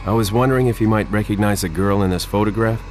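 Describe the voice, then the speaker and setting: An actor playing a cool, wary young American agent in a video game, speaking in a terse, understated, dry-humored way. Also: male voice, fairly low pitch, fairly even intonation, fast, slight background noise, natural voice. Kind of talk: video game cutscene acting. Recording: loud game music over the voice.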